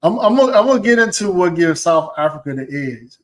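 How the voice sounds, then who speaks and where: A man's voice: high voice, very animated, fast talker. A man hosting a casual podcast, often stumbling and repeating words as he starts a point. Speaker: podcast host.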